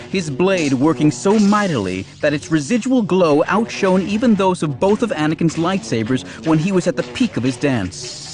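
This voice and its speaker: A man reading an audiobook as narrator, delivering scripted action storytelling in a dramatic style. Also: on the high side. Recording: music behind the narration.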